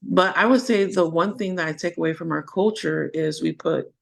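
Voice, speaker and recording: Gravelly-voiced woman from Washington. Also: fairly low pitch, moderate pace. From United States, Washington. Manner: reflective storyteller. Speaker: podcast guest. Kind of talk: casual interview chat.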